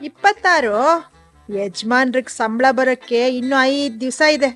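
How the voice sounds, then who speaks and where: A polite housewife in her fifties, chatting easily, as an actress plays her. Her voice is high and light. Faint music sits behind the radio scene. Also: unhurried, fairly bright tone. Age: 50s. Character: middle-aged housewife, proper and chatty. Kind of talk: radio drama acting.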